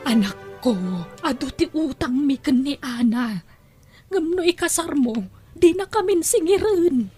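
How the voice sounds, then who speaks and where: A woman's voice: sits high, unhurried, warm tone, faint music behind. A woman acting a pleading, insistent middle-aged mother burdened by debt in an Ilocano audio drama, with wheedling delivery.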